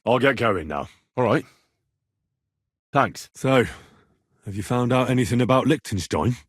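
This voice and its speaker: An actor playing an earnest, cheeky young medieval adventurer in a video game, plain-spoken and conversational. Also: male voice, medium pitch, animated, a little slow, husky, dry recording, studio quality. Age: young adult. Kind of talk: video game character dialogue.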